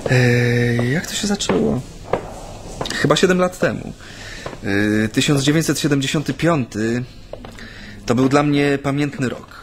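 A man's voice: mid-range voice, a little slow, long pauses, background noise, natural voice. An actor playing a talkative, wry dissident recounting his past to an interviewer in a radio play, with hesitant, chatty delivery. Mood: wry reminiscing. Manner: hesitant, chatty, amused storytelling. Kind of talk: radio drama acting, recounting memories in an interview. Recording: studio radio play with faint music.

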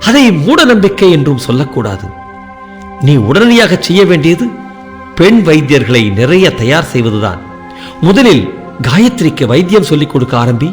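A man playing a wise, measured emperor in a radio play, speaking in an advising tone. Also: fairly high pitch, very animated, soft background music.

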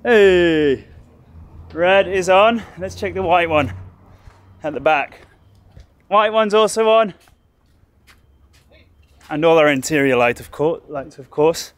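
A man's voice: a little high, slow pace, pauses often. A man in his thirties casually narrating a boat restoration vlog, filming himself with a handheld camera outdoors in a boatyard. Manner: chatty, excitable, thinks aloud. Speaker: vlogger restoring a sailboat. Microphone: action camera held at arm's length.

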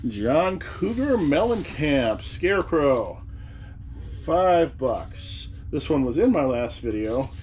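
A man's voice: a little high, big pitch swings, slow pace, darkish tone, slight background noise. Wry, chatty man talking at his desk. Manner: chatty and wry, rambling asides. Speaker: eBay reseller vlogger. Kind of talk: casual talk to camera. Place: cluttered room indoors, seated at a desk. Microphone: camera in front of him at the desk.

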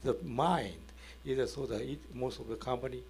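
Male panelist, sixties, Japanese accent, creaky mid-pitched voice, halting delivery. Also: a little mellow, slight background noise. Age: 60s. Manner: halting, broken English. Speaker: panelist, company executive. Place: indoor conference event on a stage. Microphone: handheld microphone.

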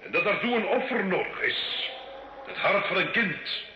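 A man's voice: high voice, very animated, moderate pace. A male actor playing a proud, volatile nobleman who dabbles in devil worship, with haughty, theatrical, formal delivery in an old radio drama. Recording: dull, hissy old radio recording, roomy.

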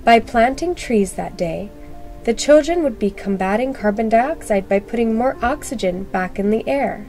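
A woman reading a children's storybook aloud in scripted narration, expressive and clearly phrased for young listeners. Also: on the high side, normal speed, soft background music.

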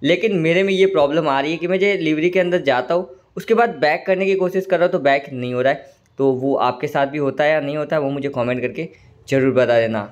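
A man with a slightly hoarse throat giving casual, chatty commentary over a train simulator game, often calling viewers "brother". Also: a little high, fast. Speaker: gaming channel host.

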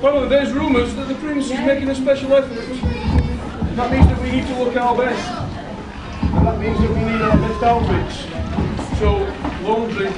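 A man's voice: extremely high pitch, fairly even intonation, moderate pace. A man playing a bossy, scheming ugly sister in a live amateur pantomime. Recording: echoey hall, distant, noisy crowd and music.

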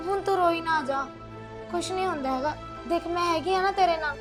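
A young woman playing a sympathetic cousin who softly comforts the bride and pleads with the aunt. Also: extremely high pitch, fairly quick, music in the background. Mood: consoling.

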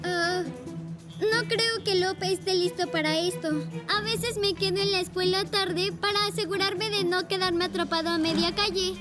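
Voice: very high-pitched, steady tone, moderate pace. An actor voicing a thoughtful, cautious young motorcycle friend in a children's cartoon, earnest and caring. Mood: worried. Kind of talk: animated children's cartoon dubbing. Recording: loud children's music behind the voice.

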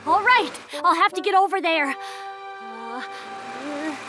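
A woman voicing a small boyish talking bus, curious, plucky and excitable, in a children's animated series. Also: sits high, slow, music in the background. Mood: determined. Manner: exclamatory, wide-eyed, gasping and sighing.

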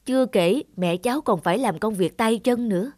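A woman's voice: fairly high pitch, moderate pace, darkish tone, no room sound, studio quality, natural voice. A woman voicing a serious, concerned doctor in a cartoon drama, speaking earnestly. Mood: grave, concerned. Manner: earnest, explanatory.

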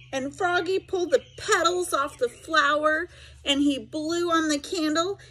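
Expressive, high-voiced middle-aged woman reading aloud. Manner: expressive, simple explanatory talk to children. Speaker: special education teacher reading aloud. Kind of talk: picture-book read-aloud with asides to children.

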